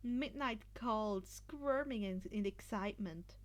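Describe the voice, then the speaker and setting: A woman's voice: medium pitch, unhurried, heavy background noise. A woman narrating fan fiction as an amateur audiobook-style reader, telling a scripted story.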